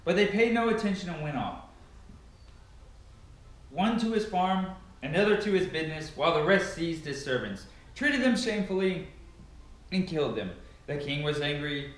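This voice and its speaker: A man reads scripture aloud in a very echoey, reverberant room. His voice sits fairly high and swings widely in pitch, with a solemn, declamatory delivery. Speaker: amateur Bible reader. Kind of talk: dramatic scripture reading.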